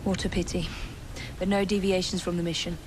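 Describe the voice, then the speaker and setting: An actress playing a cool, focused young assassin in a Victorian game, with an English accent and clipped delivery. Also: female voice, level tone, fairly quick, background noise, lightly acted. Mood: impatient. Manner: clipped, businesslike. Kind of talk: video game cutscene acting. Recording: loud engine and rumbling ambience behind.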